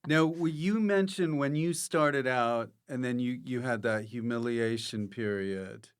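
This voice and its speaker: A man's voice: mid-range voice, a little slow, slight background noise. A man hosting a casual interview, asking questions and tossing in playful jokes. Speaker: podcast host, interviewer.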